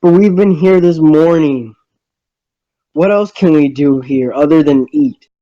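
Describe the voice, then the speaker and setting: A man playing a bewildered young male student in a visual novel dub, narrating and speaking with a halting, hesitant delivery. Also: on the high side, no room sound, muffled recording, lightly acted. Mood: bored.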